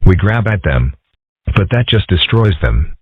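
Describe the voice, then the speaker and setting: A serene, wry cartoon fox schoolboy, played by a man, with a notably low and husky voice. He stays calm and deadpan. The recording is thin and tinny, like a phone line. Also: measured pace, exaggerated voice. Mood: musing. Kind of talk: comic strip dub acting. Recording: tinny phone-like band-limited audio.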